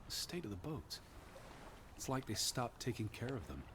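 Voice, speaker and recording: A man voicing a serious, scholarly American naturalist in a video game, measured and earnest. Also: mid-range voice, sing-song, background noise, lightly acted. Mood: pensive.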